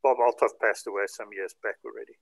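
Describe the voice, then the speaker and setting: A man's voice: lowish voice, steady tone, quick pace, gravelly, slightly rough, no room sound. An older man from South Africa chatting casually and in detail on a group video call. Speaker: participant in a hobby group chat. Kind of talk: casual chat, recounting.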